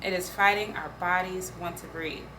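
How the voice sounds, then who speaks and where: A woman's voice: fairly low pitch, steady tone, moderate pace. Woman performing spoken-word poetry. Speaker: poetry reader. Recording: faint background noise.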